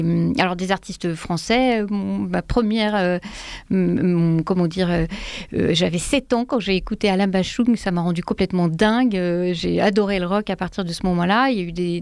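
Woman singer guest, creaky voice, dry close mic, halting delivery. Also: lowish voice, darkish tone, no echo. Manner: hesitant, many fillers and restarts. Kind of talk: radio interview, casual.

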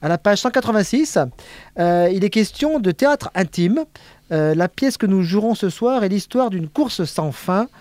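Radio host, man, somewhat high-pitched, dry studio sound, easygoing. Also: normal speed, dry recording. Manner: relaxed, chatty, reads introductions. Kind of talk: radio interview hosting.

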